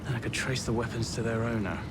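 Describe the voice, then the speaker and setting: An actor playing an earnest, fussy Victorian police sergeant in a game, formal and slightly stuffy, with an English accent. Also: male voice, mid-range voice, steady tone, quick pace, close to an everyday voice. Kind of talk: video game cutscene acting. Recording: faint ambient noise.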